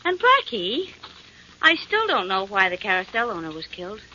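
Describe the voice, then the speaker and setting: Lively, flirty radio sweetheart. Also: female voice, mid-range voice, normal speed, a little hiss or hum, exaggerated voice. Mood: playful. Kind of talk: 1940s radio acting. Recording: dull vintage radio, music behind.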